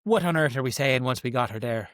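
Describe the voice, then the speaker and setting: A man's voice: mid-range voice, fast, a bit bright, no echo, clean studio sound. A man playing a tabletop roleplaying game, questioning the plan in casual banter.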